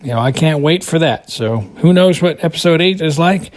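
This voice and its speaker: A man's voice: on the high side, lively intonation, normal speed. An American man giving casual monologue commentary, rambling and hesitant as he thinks aloud. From United States. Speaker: podcast contributor reviewing a TV episode.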